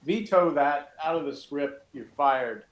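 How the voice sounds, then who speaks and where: A man's voice: fairly high pitch, measured pace. A man casually reviewing in conversation, opinionated and sarcastic, prone to ranting. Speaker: podcast review host. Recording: roomy, echoing sound.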